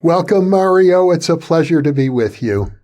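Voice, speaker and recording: A man, hosting an interview and speaking in measured, orderly sentences. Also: fairly high pitch, expressive, mellow. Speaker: interview host. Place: video call interview.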